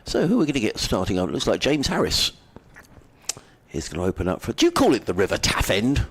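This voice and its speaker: A middle-aged man with a very deep voice commentates on cricket, friendly and meandering, drifting from the play into chatty asides. His delivery is relaxed and conversational. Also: quick pace, breathy edge. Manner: rambling, friendly, lots of fillers and restarts.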